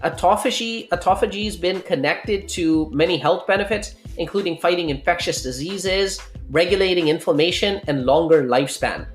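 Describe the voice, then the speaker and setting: Podcaster in their thirties, roomy echo. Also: medium pitch. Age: 30s. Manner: explanatory, often checks in with "okay?". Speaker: podcast host. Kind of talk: casual health talk. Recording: roomy echo with faint music.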